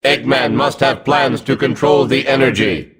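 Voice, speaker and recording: A man voicing a hulking combat robot in a video game, gruff and mechanical, giving clipped, vengeful commands. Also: mid-range voice, moderate pace, a bit bright. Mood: cold, commanding.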